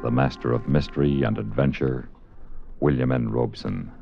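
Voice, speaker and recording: A man's voice: a bass voice, steady tone, normal speed, creaky. A man announcing an old radio thriller in an ominous, stately 1950s style. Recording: dull old radio recording, loud music. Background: loud music.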